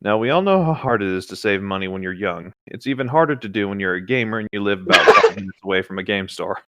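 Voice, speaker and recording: A man's voice: fairly low pitch, expressive, quick pace, sharp tone, no echo. A man co-hosting a casual read-aloud, chatting and joking in a rambling, self-amused way. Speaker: co-host reading video game creepypastas aloud.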